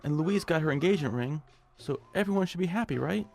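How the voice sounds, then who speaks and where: A man's voice: a little high, lively intonation, quick pace, vocal fry, darkish tone. An actor playing a meek, henpecked, hapless man who stammers and whines in a radio comedy. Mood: chatty, uneasy. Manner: stammering, whiny, exclaiming. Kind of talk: modern revival of old-time radio comedy drama acting.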